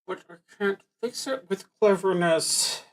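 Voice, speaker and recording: A man narrating a solo tabletop game, reading cards aloud and thinking out loud in a casual, wry way. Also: high-pitched, lively intonation, unhurried. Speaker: solo roleplaying game streamer.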